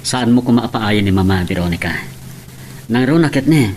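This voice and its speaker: A man's voice: on the low side, animated, normal speed, darkish tone, a little hiss or hum, close to an everyday voice. A man playing a friendly young man in love in a radio drama, with a Filipino accent. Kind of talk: Ilocano radio romance drama acting.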